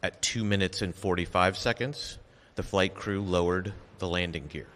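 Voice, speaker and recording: A man reading a formal prepared statement as an official at a briefing, measured, factual and deliberate. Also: low voice, a bit bright. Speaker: official giving an investigation briefing. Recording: long reverberant echo of a hall.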